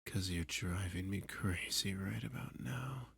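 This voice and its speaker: A man playing a dominant, flirty, possessive villain kidnapper in an ASMR roleplay, teasing and seductive, with a breathy, husky voice that often drops to a whisper. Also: deep voice, fairly bright tone, no echo, exaggerated voice. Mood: impatient, flirtatious. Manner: slow, teasing, seductive, with pet names. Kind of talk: ASMR roleplay addressed to the listener.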